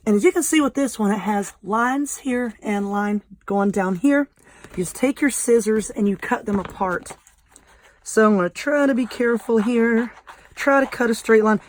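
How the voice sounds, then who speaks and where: A woman with a Southern American accent and a slightly hoarse, croaky, squeaky voice, hosting a casual craft tutorial in a folksy, informal way. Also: slight background noise. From southern United States. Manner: folksy, informal, self-deprecating. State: voice a little hoarse. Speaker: craft video host.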